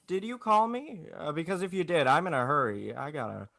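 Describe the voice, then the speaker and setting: A man's voice: a little high, animated, fairly quick, creaky, pushed and bright, dry recording, studio quality, exaggerated voice. A man playing a stubborn, tender-hearted young small-town dreamer in 1940s radio drama style, with a hesitant, stammering delivery. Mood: flustered. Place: indoors, recorded over a video call.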